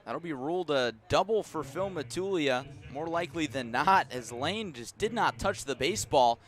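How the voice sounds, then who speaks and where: An American man doing live radio play-by-play of a baseball game in a measured, descriptive style. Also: on the high side. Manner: measured, descriptive calls that rise to excitement on big plays. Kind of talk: live radio sports commentary.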